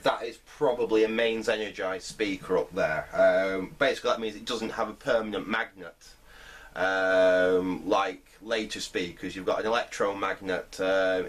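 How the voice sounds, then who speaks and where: Middle-aged male restorer, low-pitched voice, informal workbench commentary, thinks aloud with hesitation. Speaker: vintage radio restorer, presenter. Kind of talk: casual hands-on repair commentary. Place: indoor workbench.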